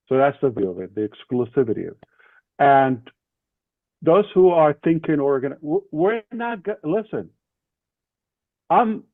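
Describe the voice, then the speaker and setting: A man's voice: fairly high pitch, no echo. Man motivating a group, thin phone-like sound. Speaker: leader and main speaker of an online group session. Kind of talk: motivational business talk. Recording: thin phone-like call audio.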